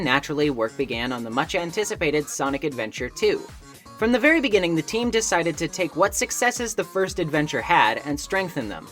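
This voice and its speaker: A man's voice: fairly high pitch, a bit bright. Man narrating a video essay over music. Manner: articulate, wordy, wry asides.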